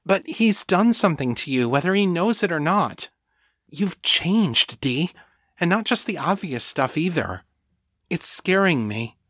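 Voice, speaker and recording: A man's voice: a little high, sing-song, unhurried, a bit bright, no echo, clean studio sound, pitched above the performer's own voice, heavily acted. A man voicing an anxious woman in an audiobook story, earnest, urgent and hesitant as a pleading friend. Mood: pleading, scared.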